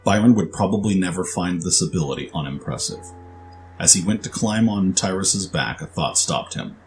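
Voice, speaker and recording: Very deep-voiced male storyteller. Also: lively intonation, moderate pace, soft background music. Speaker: narrator. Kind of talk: scripted dramatic narration.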